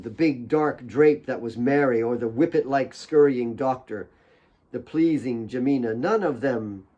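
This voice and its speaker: A man's voice: medium pitch, dark tone, muffled recording. A man reading his own novel aloud as a dramatic audiobook narration.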